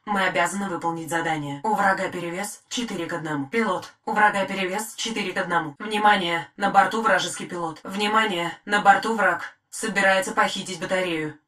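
A woman voicing a calm war-machine AI. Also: mid-range voice, lightly acted. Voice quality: crisp, even, measured delivery. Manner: terse military status reports. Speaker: titan AI voice. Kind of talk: game voice lines. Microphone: studio mic.

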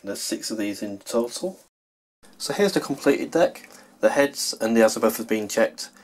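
A man's voice: on the low side, lively intonation, moderate pace. A man narrating a hands-on how-to as a tutorial host, explaining plainly step by step.

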